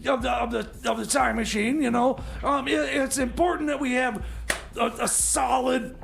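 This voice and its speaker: A man acts out a stubborn, flustered mechanic for radio comedy. The voice is very high and gravelly, halting and stammering as it rambles on in patriotic grumbles. There is clear noise on the recording. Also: fairly even intonation, heavily acted. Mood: flustered, defensive. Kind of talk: improvised comedy radio sketch.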